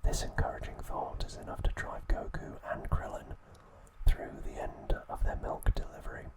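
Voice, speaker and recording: Male ASMR narrator, fully whispered, soft and unhurried storytelling delivery. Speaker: ASMR host.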